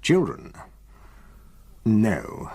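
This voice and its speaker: An avuncular older English solicitor in a radio play, his pitch rising and falling freely and his voice a little husky. He is brisk yet evasive, pausing and chuckling in an old-fashioned way. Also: wildly swooping pitch, a little mellow, exaggerated voice. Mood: instructive. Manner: avuncular, hesitant pauses, chuckling, old-fashioned turns of phrase. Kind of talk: radio drama acting.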